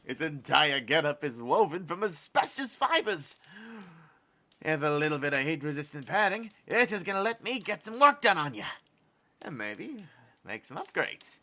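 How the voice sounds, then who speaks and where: High, flustered, doting inventor father. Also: normal speed, heavily acted. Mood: excited. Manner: excitable, flustered, stammering. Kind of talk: audio drama acting.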